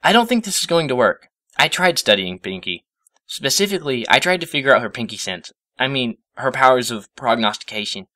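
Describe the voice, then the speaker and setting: A man's voice: mid-range voice, bright, forceful tone, no room sound, voice pushed up. A man voicing an earnest, polite, studious young female cartoon pony who reasons carefully.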